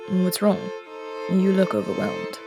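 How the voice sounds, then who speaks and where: A woman voicing an aloof, aristocratic immortal prince in an audio roleplay drama, speaking in a cool, commanding tone with soft murmured asides. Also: fairly low pitch, big pitch swings, measured pace, creaky, music in the background, full character voice. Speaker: voice actor.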